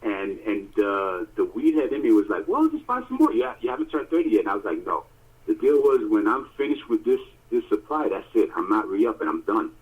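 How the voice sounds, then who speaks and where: A man's voice: lowish voice, fairly quick, husky. A man co-hosting a podcast over a phone call, telling stories in a casual, emphatic way with lots of fillers. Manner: animated, emphatic storytelling with many fillers. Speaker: podcast co-host. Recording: tinny phone line.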